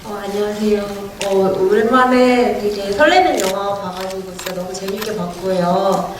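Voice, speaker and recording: A woman's voice: mid-range voice, normal speed. A woman in the audience asks a friendly, eager question. Her voice comes from far across a large, reverberant cinema hall with noise behind it. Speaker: audience member asking a question. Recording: echoey hall audience recording, distant and noisy.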